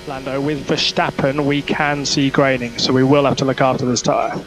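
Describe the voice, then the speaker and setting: A man's voice: mid-range voice, little pitch movement, normal speed, fairly bright tone. A man working as a race engineer, giving terse, clipped technical instructions over team radio. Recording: noisy motorsport team radio.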